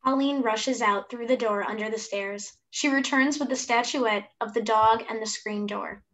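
A woman's voice: on the high side, moderate pace, fairly bright tone, low-quality recording. A young woman reading stage directions as scripted narration for a play over a video call. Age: young adult. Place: room at home. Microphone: computer mic on video call.

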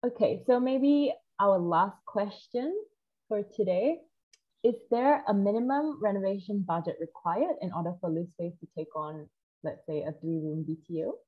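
A woman's voice: medium pitch, normal speed, slightly rough. A young woman casually hosting a webinar and putting questions to a guest over a video call. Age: young adult. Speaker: webinar host. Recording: dull, muffled video call audio.

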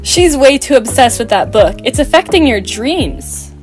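A woman's voice: high voice, sing-song, unhurried, soft background music. A woman performing scripted children's dialogue for a doll story. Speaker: amateur voice performer for doll stop-motion videos.

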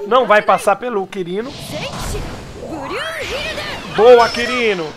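A hoarse man with a Brazilian Portuguese accent, commenting excitedly on his own soccer game and calling out special moves. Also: high voice, slow. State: hoarse from a cold. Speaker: gaming YouTuber. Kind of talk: let's play commentary. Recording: game music behind. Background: game music.

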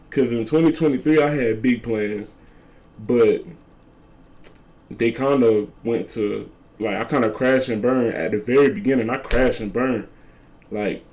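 A twenty-year-old man with a deep voice, a content creator talking casually to camera and repeating his points. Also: darkish tone. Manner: repetitive, laid-back slangy talk, repeats lines. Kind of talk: casual vlog talk to camera.

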